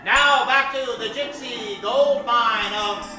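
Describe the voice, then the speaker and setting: A man's voice: very high-pitched, slow. A man narrating and announcing in a theatrical, suspenseful old-time radio style. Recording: very echoey, distant stage recording with music.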